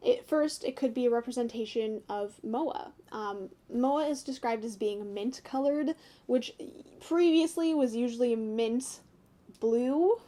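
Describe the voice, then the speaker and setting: Casting: young woman, North American accent, higher pitch, mellow tone, chatty vlog host thinking aloud. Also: a little slow. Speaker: YouTube host giving fan commentary. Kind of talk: casual analysis chat to viewers.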